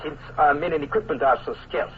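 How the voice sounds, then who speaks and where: Man voicing a fatalistic Prussian colonel, formal and exact, fairly high voice, dull hissy old radio sound. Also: close to an everyday voice. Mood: grim. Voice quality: precise, formal, deliberate phrasing. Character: stern Prussian colonel, coldly logical, fatalistic. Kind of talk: 1950s radio drama acting. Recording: noisy lo-fi old radio recording.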